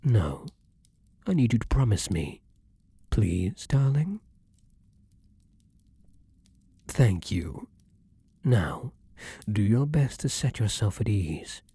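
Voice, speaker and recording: A man's voice: lowish voice, sing-song, slow, long pauses, mellow, slightly rough, no room sound, full character voice. A man playing a vampire king, an ardent, protective lover with courtly, formal phrasing, in an intimate ASMR roleplay. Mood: concerned, pleading, then tender, hungry.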